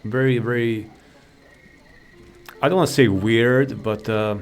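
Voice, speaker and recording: A man with a mid-pitched voice talks through a game as its video creator. He is laid-back and rambling, thinking aloud as he goes, with soft music underneath. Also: steady tone, a little slow. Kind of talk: casual gameplay commentary.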